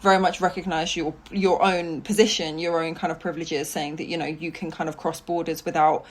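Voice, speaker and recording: A woman's voice: low voice, flat delivery, fairly quick. A young woman interviewing an author, asking questions casually and filling them with "like" and "kind of". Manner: chatty, heavy use of "like" and "kind of".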